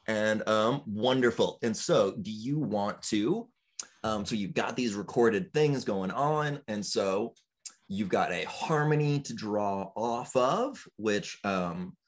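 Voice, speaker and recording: Male game master, lowish voice, unhurried, encouraging, lots of ums. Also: animated. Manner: encouraging, hesitant with frequent ums. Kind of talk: running a tabletop game over voice chat.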